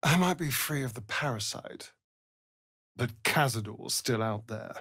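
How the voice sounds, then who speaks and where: A man's voice: medium pitch, expressive, a little slow, a bit bright, slightly rough, no room sound, clean studio sound, exaggerated voice. A man with a British accent playing a vain, sardonic immortal vampire rogue in a fantasy video game, theatrical and arch. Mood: wary, musing. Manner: arch, drawling, flamboyant.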